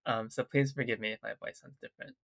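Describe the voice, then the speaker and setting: A man's voice: mid-range voice, fairly even intonation, quick pace, vocal fry, fairly bright tone. A man talking casually as a guitarist reacting to music, sounding nasal and congested from being sick, eager and chatty about riffs. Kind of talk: casual chat.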